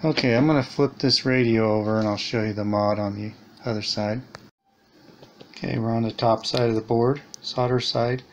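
A man's voice: mid-range voice, steady tone, moderate pace, a little hiss or hum. A man giving a casual hands-on repair demonstration at a workbench, thinking aloud a little hesitantly. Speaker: electronics repair hobbyist, host. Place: indoors at a workbench.

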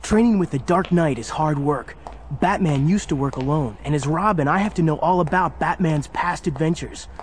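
An actor playing an earnest, youthful superhero sidekick, narrating a children's audio drama. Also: male voice, on the high side, moderate pace, a little hiss or hum, close to an everyday voice. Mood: earnest storytelling. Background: music.

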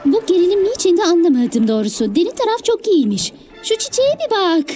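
A woman's voice: very high-pitched, very animated, a little fast, warm tone, soft background music. A woman voicing a plucky, earnest, determined teenage monster girl in a cartoon dub. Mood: curious. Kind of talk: animated cartoon dubbing.

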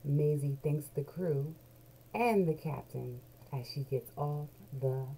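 Middle-aged lady doing a kids read-along, lowish slightly creaky voice, stretches out key words, animated storyteller. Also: takes it slowly. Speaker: children's book read-along host. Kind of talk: expressive read-aloud of a picture book for young children. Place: small indoor home room with bookshelves. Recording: noisy home webcam recording.

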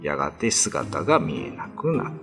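A man's voice: very deep voice, big pitch swings, normal speed, over music. A man giving scripted, solemn narration of an old ghost story.

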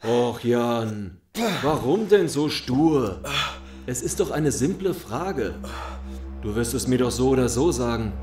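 An actor playing a smug, softly menacing gang boss interrogator in an audio drama, mock-polite, taunting and deliberate. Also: male voice, mid-range voice, expressive, echoey room, faint music behind.

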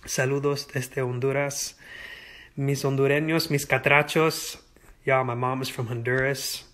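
A young man in casual livestream chat from home, talking easygoing and rambling into a phone held at arm's length. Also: medium pitch. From United States. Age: young adult. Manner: easygoing, rambling, slow-paced, lively. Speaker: singer answering fan questions. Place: indoors at home.

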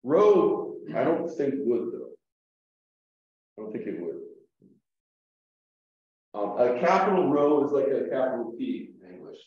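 A man teaching a Greek lesson at a whiteboard, explaining slowly with fillers and restarts, heard through a room mic on a video call. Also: mid-range voice, big pitch swings, recorded from a distance. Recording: echoey room, dull lo-fi sound.

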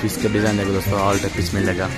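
Young male travel vlogger, selfie phone audio, loud music bed underneath. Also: on the low side, level tone, quick pace. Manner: chatty, laughing, addresses viewers as friends. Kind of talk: casual travel vlog. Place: outdoors on busy town streets and at a tourist site. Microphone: phone held at arm's length, selfie. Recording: loud background music over the talk.

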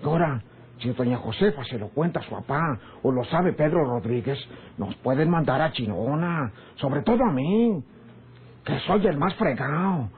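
An actor with a northern Mexican accent playing a sly, quarrelsome, rustic ranch hand in a radio drama, his voice husky. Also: a little high, lively intonation, moderate pace. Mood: agitated. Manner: rustic, colloquial. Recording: muffled old radio serial recording.

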